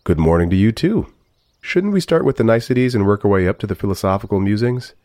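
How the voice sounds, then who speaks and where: A very low-voiced, dark and mellow AI companion , acted by a man, speaks with measured formality. He is polite, philosophical and easily slighted, as in a sci-fi audio drama with music underneath. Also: expressive, no echo, clean studio sound, close to an everyday voice. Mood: politely affronted. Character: emotional, polite male AI robot companion, introspective and easily slighted. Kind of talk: scripted audio drama narration and dialogue.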